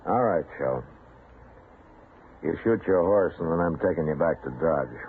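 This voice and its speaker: Very deep american lawman, terse and steady, old radio western, muffled noisy recording. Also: measured pace. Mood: firm. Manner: terse, matter-of-fact, authoritative. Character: steady, stern frontier US marshal, middle-aged man. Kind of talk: old-time radio western drama acting.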